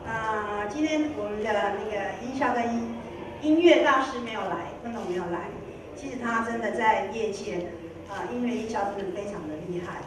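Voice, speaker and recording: A woman giving informal acceptance remarks as an award winner. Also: a little high. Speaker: award winner from a sound production company. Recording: very echoey noisy hall, distant mic.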